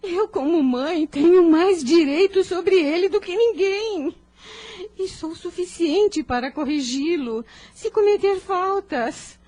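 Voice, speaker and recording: A woman's voice: very high-pitched, slow pace, dark tone. A woman playing a protective, aggrieved mother in a religious radio drama, with formal, emotional speech. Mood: tearful, indignant.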